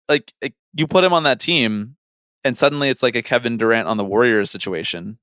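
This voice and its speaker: A man's voice: mid-range voice, moderate pace, fairly bright tone, no room sound, clean studio sound. A man co-hosting a podcast in casual sports chat, blunt and opinionated, prone to swearing. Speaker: podcast co-host.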